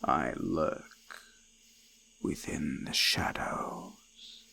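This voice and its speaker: An ancient eldritch god of the forest, menacing and curious. The deep voice crawls along extremely slowly, crackling with gravel and sinking into whispers. It is a close-up folk horror roleplay with faint ambient noise around it. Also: male voice, sharp tone, full character voice. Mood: ominous curiosity. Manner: slow, deliberate, archaic phrasing, often sinking to whispers. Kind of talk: scripted immersive roleplay monologue, folk horror. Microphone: close binaural microphone.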